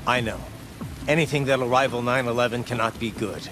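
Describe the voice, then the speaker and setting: A man's voice: medium pitch, a little fast, background noise, natural voice. A man acting as a grave, determined cyborg soldier in a video game, speaking in terse, commanding lines. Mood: terse, urgent.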